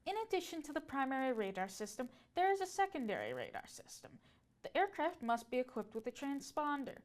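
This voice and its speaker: A woman's voice: high-pitched, very animated, a little fast. A woman presenting scripted educational narration.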